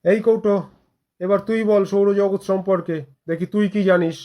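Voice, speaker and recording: Stern, exasperated male teacher for cartoon classroom comedy played by a man, high-pitched, bossy, sarcastic scolding. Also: steady tone, moderate pace, a little mellow, natural voice. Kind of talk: exaggerated cartoon comedy dialogue.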